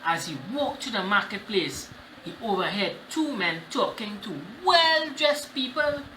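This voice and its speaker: A Trinidadian man reads a picture book to children. His bright voice rises and falls widely as he explains simply. The room sounds echoey, with a little noise. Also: sits high, very animated, a little slow. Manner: explains by repeating phrases simply. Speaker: children's storytime host. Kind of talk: animated picture-book reading. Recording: roomy echo with faint noise.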